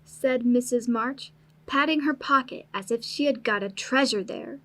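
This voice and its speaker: A woman's voice: fairly high pitch, normal speed, a bit bright. A woman narrator reading a classic family story in a scripted storybook style.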